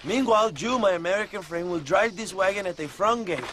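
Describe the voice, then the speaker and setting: An actor playing a cheery, reckless army captain with a Mexican accent in a Western video game. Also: male voice, sits high, brisk, fairly bright tone, slight background noise. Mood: cheerfully scheming.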